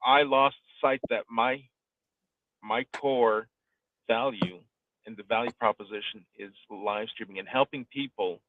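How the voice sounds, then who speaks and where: A man's voice: lowish voice, no echo. A man giving conversational coaching advice as a host, speaking emphatically and repeating phrases for weight. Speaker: live streaming coach hosting an audio discussion. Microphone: live online audio room connection. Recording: tinny phone-like online call audio.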